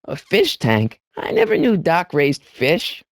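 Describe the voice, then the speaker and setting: An excitable teenage boy hero for an adventure video game. His delivery is lively and swoops up and down, with small hesitant stammers and an incredulous edge. He comes across as good-hearted, recorded dry with no room around him. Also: high voice, slow pace, creaky, no room sound, heavily acted. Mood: musing, curious. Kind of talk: animated video game voice acting.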